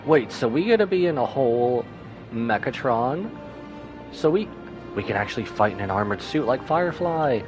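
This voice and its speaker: A man livestreaming a game with casual, slangy, excitable commentary and reactions. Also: mid-range voice, moderate pace, dull audio. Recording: game music behind the voice.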